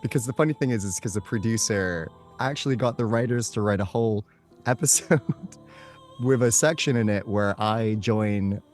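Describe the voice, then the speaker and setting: A man's voice: lowish voice, moderate pace, darkish tone, faint music behind. A man chatting casually as an interview guest, effusive and enthusiastic in how he talks. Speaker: guest, voice and screen actor. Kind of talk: casual chat and anecdote.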